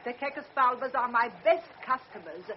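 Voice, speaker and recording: A woman's voice: on the high side, expressive, moderate pace, lightly acted. An actress playing a bustling, obliging woman who sells flowers at a market, in a radio drama. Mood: brisk. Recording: dull old radio broadcast, faint hiss.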